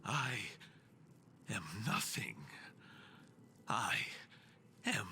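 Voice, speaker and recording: An actor playing a fervent zealot in a video game, speaking in halting, theatrical, reverent monologue that swells with breathy devotion. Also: male voice, mid-range voice, wildly swooping pitch, bright, forceful tone, slight background noise, full character voice. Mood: tearful devotion. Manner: rapturous, halting, dramatically drawn-out, breathy swells. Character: fervent, unsettlingly reverent zealot guiding a pilgrim to his holy master.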